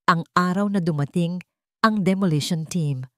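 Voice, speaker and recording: A woman narrating a scripted drama story in a measured, dramatic storytelling manner. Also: on the low side, a bit bright, dry recording, clean studio sound.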